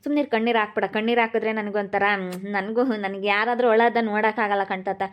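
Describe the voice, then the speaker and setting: A woman acting a soft-hearted young elder brother in a family drama, soothing and repeating himself. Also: mid-range voice, fairly even intonation, fast talker, dull audio, natural voice. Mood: tender, upset. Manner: soothing, repetitive.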